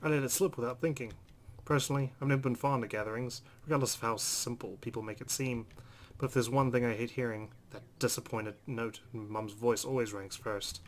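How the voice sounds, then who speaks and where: A man's voice: mid-range voice, fairly even intonation, fairly quick. A man hosting a let's play, reading visual novel narration aloud with brief asides. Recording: clear background noise.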